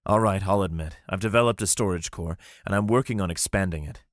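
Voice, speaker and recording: A man's voice: low-pitched, a little fast, no echo, clean studio sound, close to an everyday voice. A man voicing an earnest young male alchemist explaining his plans.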